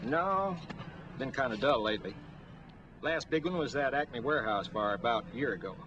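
A man's voice: mid-range voice, lively intonation, moderate pace, muffled recording, natural voice. An actor playing a chatty old fireman, telling stories in a folksy, colloquial way in a 1940s film. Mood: reminiscing. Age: older. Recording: faintly noisy 1940s film soundtrack.

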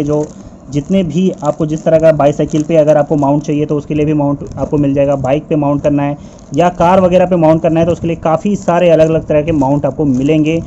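Young dude motovlogging, kinda high voice, mellow tone, loud street noise behind. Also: male voice. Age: young adult. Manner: chatty, addresses viewers directly. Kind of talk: casual vlog narration. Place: indoors at home, then riding a motorcycle on city streets. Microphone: action camera mounted on helmet. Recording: lo-fi camera audio with traffic noise.

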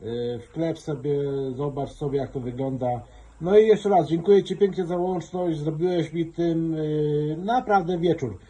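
A man's voice: a little high, fairly even intonation, measured pace, background noise. Friendly chatty man on ham radio. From Poland. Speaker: amateur radio operator. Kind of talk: casual ham radio contact.

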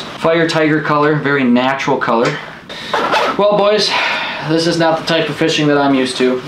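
A man's voice: mid-range voice, background noise. A young man chatting casually to viewers in a fishing vlog and explaining his gear. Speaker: fishing vlogger. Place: small ice fishing shack.

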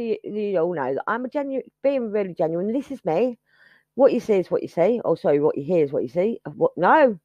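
A woman's voice: on the low side, dry recording, highs cut off. Chatty, self-mocking woman vlogger. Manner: chatty, self-mocking, scattered, starts with sung lines. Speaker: YouTube channel host. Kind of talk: rambling casual chat to subscribers.

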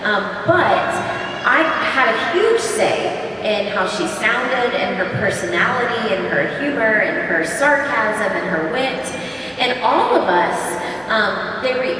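A woman on a convention panel stage, telling candid, animated stories into a handheld mic. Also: a little high, background noise. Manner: animated, candid anecdotes with self-deprecating laughs. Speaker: panel guest, voice actor. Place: large convention hall stage. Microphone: handheld microphone. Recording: echoey hall, distant mic, crowd.

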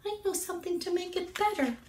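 A woman's voice: very high voice, animated, fast, darkish tone, slight background noise, voice pushed up. A woman voicing a kind, helpful male bird from a children's storybook, light and chirpy. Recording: roomy echo, distant from the mic.

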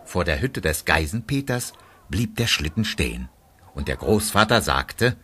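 A man's voice: low voice, sing-song, a little slow, pushed and bright, breathy edge. A man narrating a children's radio play in a scripted storybook style.

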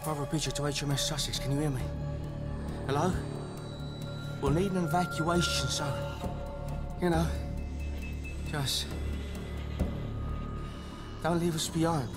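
An actor playing a young, insecure but eager British private in a cinematic video game. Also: male voice, a little high, fairly even intonation, measured pace, long pauses, lightly acted. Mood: anxious, reassuring. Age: young adult. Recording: loud music and vehicle noise behind.

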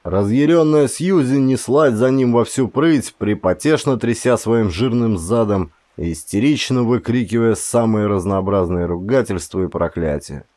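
Low-voiced man narrating an audiobook. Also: dark tone. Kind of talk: dramatic scripted audiobook narration.

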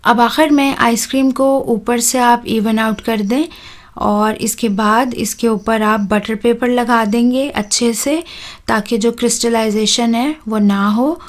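A woman hosts a home cooking channel. Her voice sits a little high, and she explains each step in a calm, instructive voice-over with soft "uh" fillers. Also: measured pace.

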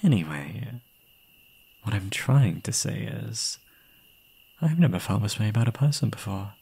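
Breathy, obsessive young yandere man, acted by a man. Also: fairly low pitch, expressive, measured pace, mellow, full character voice. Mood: obsessive, confiding. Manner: doting pet names, unhinged chuckling, swinging into whispers. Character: obsessive, gleeful young male yandere kidnapper. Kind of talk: ASMR roleplay, speaking intimately to the listener.

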